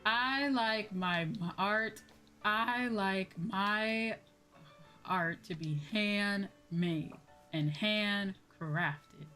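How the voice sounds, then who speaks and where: A woman's voice: medium pitch, extremely slow, pushed and bright, faint music behind. A woman livestreamer chatting casually while gaming, blunt and swearing freely, repeating her points for emphasis. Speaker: livestream host, VTuber.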